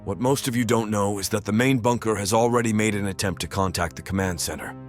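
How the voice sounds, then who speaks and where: A man's voice: on the low side, fast, faint music behind, natural voice. A man playing a sardonic, grave military figure in a horror audio comic. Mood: grave briefing. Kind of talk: dramatic audio comic acting.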